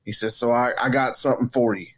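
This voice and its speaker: A man's voice: mid-range voice, fairly even intonation, fast talker, slightly rough. A man chatting casually as a podcast co-host, blunt and joking, with plenty of swearing. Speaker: wrestling coach. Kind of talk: casual banter and storytelling.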